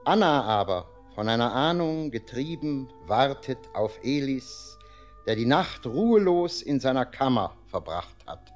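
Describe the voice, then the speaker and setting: An actor playing a solemn storyteller, narrating a 1940s radio drama in a measured, dramatic manner. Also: male voice, medium pitch, very animated. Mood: solemn foreboding. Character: solemn storyteller announcing a fateful scene. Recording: music prominent behind voice. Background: music.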